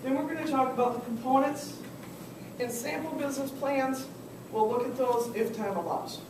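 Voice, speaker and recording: A woman's voice: high-pitched, moderate pace. A woman consultant teaching a business workshop, chatty and asking the class questions, while losing her voice. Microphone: far camera. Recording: echoey room from far off, noisy.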